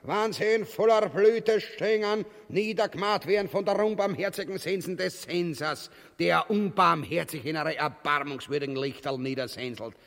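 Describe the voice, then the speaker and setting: An actor playing a boastful, cowardly petty tyrant in a grotesque radio comedy, blustering in a southern German-Austrian dialect. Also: male voice, sits high, normal speed, hardly any pauses, a bit bright. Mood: boastful, pompous. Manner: pompous, blustering, mock-solemn. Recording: long reverberant hall sound.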